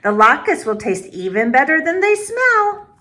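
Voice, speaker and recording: A woman's voice: high-pitched, big pitch swings, unhurried, bright, forceful tone, a little hiss or hum, voice pushed up, heavily acted. A woman voicing a kindly, fussing old grandmother in a storybook read-aloud, chatty and motherly. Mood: doting.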